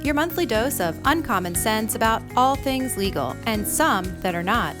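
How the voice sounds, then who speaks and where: A woman's voice: on the high side, lively intonation, normal speed. A woman reading a scripted podcast intro as the announcer. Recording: music bed behind voice.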